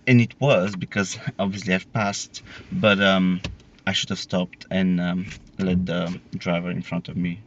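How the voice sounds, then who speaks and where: A man's voice: deep voice, level tone, a little slow, fairly bright tone, slight background noise. A young man from Bulgaria with a Bulgarian accent, a learner driver talking hesitantly with many fillers inside a car. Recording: dull in-car audio.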